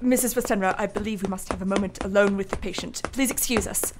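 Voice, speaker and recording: An earnest young-sounding doctor played by a woman in a radio drama. The delivery is formal and restrained, at a middling pitch. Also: steady tone, fast talker, slight background noise, natural voice. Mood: worried. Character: earnest, polite male doctor at an asylum.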